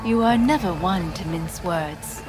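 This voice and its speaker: An actress with a Japanese accent playing a formal, steely corporate heiress in a game cutscene, measured and restrained. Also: female voice, on the low side, close to an everyday voice. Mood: guarded. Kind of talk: video game cutscene acting. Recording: music behind the voice.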